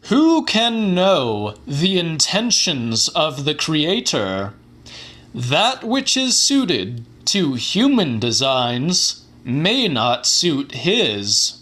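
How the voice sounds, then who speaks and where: A man's voice: fairly high pitch, big pitch swings, very slow, fairly bright tone, heavily acted. A man playing a fervent scientist who delivers a formal, theatrical lecture of revelation in an audiobook. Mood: solemn, portentous. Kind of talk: dramatic audiobook monologue.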